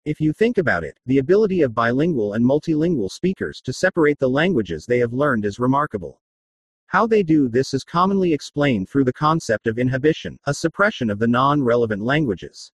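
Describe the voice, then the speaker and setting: Male reporter-narrator, husky tone, lively delivery, explains clearly, dry close sound. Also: medium pitch, a little fast, no room sound. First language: English. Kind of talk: scripted documentary narration.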